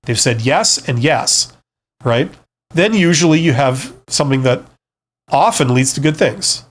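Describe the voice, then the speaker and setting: A man's voice: medium pitch, animated, unhurried. Frank, conversational male advice streamer. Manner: conversational, frank, often checks in with "right?" and "you know?". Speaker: live stream host, career advisor and former CEO. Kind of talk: casual live stream advice, answering viewer comments.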